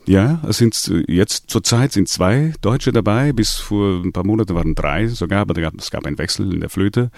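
A man's voice: low-pitched, vocal fry, a little mellow, no room sound, studio quality. A man, a singer in a band, answering radio interview questions hesitantly with many restarts. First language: Portuguese. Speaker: singer in a latin music band.

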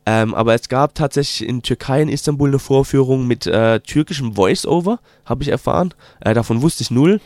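A man with a Palatinate German accent talks casually about his work in an interview, mid-pitched and unhurried. He pauses often and fills gaps with hesitation sounds. The recording is dry and close. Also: darkish tone, no room sound. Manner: hesitant, many filler sounds, slow drawn-out phrasing. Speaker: documentary filmmaker guest.

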